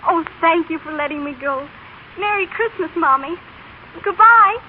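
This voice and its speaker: Very high-voiced sweet girl, old radio. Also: lively intonation, normal speed. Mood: eager, affectionate. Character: sweet, polite young daughter. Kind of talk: 1930s radio drama acting. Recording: scratchy, tinny old radio broadcast.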